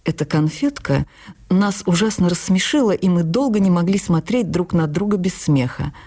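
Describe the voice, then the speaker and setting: A woman's voice: on the low side, moderate pace, slightly rough. A woman narrating a literary memoir expressively, as a dramatized audio reading. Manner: expressive storytelling with acted dialogue.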